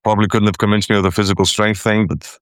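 A man from Iceland talks about his past as a guest in a conversation, stumbling and repeating words as he restarts his thoughts. His voice is very deep, and the recording is close and dry. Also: flat delivery, fast talker, clean tone, no room sound. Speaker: caller, guest. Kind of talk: casual personal conversation.

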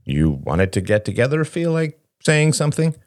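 Man acting brash older brother, audiobook character, impatient, decisive, bossy, lively swooping pitch, dry studio sound. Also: moderate pace, no room sound, natural voice. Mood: expansive, prodding.

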